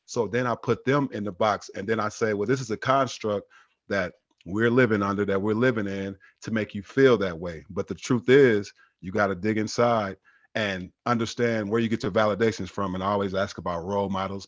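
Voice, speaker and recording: A man with a low voice explains his ideas in a firm, emphatic manner, like a teacher making a point. The audio is dull and a bit muffled, as if from a lower-quality mic, but dry. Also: fairly even intonation, normal speed, no room sound. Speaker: educator and podcast co-host. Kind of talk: casual chat with teaching anecdotes.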